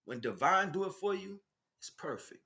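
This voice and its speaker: A man's voice: sits high, sing-song, moderate pace, gravelly, bright, forceful tone, reverberant. An American man giving an informal spiritual monologue in streetwise slang, speaking emphatically and with repetition. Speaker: spiritual teacher and stream host.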